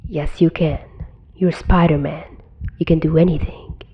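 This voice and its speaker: A woman's voice: deep voice, fairly even intonation, measured pace, slightly rough, muffled recording. A woman voicing a worried, fatherly billionaire superhero who coaxes with concern. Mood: coaxing, concerned. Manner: coaxing, concerned.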